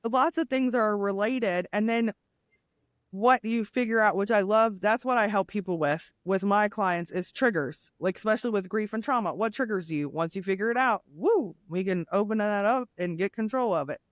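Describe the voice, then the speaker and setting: A deep-voiced middle-aged woman with a smooth, full tone tells her own story as a guest. Her sound is close and dry, with no room around it. She talks candidly and lively, laughing between points. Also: normal speed, no room sound. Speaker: guest, nurse and grief coach. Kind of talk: casual interview conversation.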